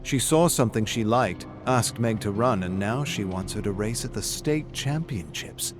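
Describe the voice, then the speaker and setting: A man reads a scripted story for a video game with dramatic, expressive delivery. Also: fairly low pitch, normal speed. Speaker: narrator. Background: background music.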